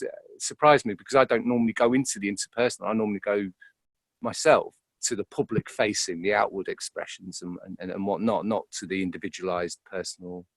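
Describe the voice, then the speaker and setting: A man being interviewed as an actor, talking reflectively and informally in a halting, searching way, often repeating words. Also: fairly low pitch, vocal fry, no room sound.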